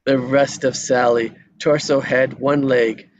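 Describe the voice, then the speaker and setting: A man's voice: medium pitch, fairly even intonation, slow pace, slight background noise. A man reading scripted action descriptions as narrator at a screenplay table read.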